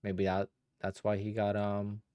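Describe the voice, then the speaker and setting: A man with a low, easygoing voice talks loosely to his live viewers, starting over and wandering as he thinks out loud. He is from Poland and in his thirties. The sound is close and dry, with no room around it. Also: measured pace, clean tone, no room sound, dull audio. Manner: relaxed, rambling, thinks aloud with restarts. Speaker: day trader streaming live. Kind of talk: casual livestream chat answering viewers.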